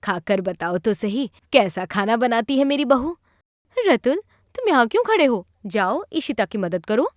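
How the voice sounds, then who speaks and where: Lady doing a bossy protective mom in a cartoon, highish and animated. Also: normal speed, dry recording, studio quality. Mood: welcoming. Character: firm, protective middle-aged mother-in-law, proud of bride.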